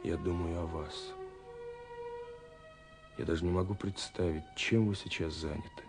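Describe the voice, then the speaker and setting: A man's voice: low-pitched, steady tone, a little slow. An actor playing a romantic, dreamy young man who speaks his love letters in a lyrical, intimate radio play monologue. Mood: dreamy, drowsy. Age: young adult. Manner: lyrical, intimate, swinging between hushed and exclaiming. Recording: slightly husky-sounding 1979 radio recording. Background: soft music.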